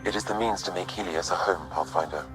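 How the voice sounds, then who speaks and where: A man playing a calm artificial intelligence in a video game, measured, precise and formal. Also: deep voice, music in the background, close to an everyday voice, poor audio. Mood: calm, informative. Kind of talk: video game cutscene acting.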